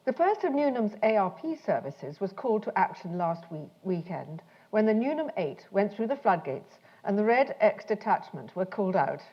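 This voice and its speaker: Female letter reader, mid-pitched with animated intonation, slightly muffled recording. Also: moderate pace, hardly any pauses. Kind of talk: reading a letter aloud. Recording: dull, muffled talk recording.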